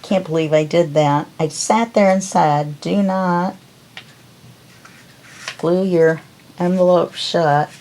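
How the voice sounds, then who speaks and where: A woman hosting a crafting tutorial, chatting calmly and unhurriedly as she works. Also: on the low side. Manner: calm, unhurried, talks while working. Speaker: crafting video host. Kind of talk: casual crafting tutorial chat.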